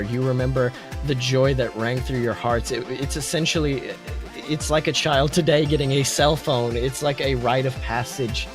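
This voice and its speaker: A man hosting a podcast, telling improvised fantasy stories as game master and chatting. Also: medium pitch. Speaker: podcast host. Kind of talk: improvised second-person fantasy storytelling and podcast chat.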